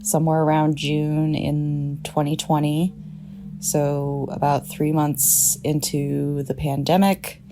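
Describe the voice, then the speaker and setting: A woman speaks in a sober, steady way. Her voice is very low, flat and gravelly, with a dark tone. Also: slow pace, soft background music. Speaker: listener sending a message.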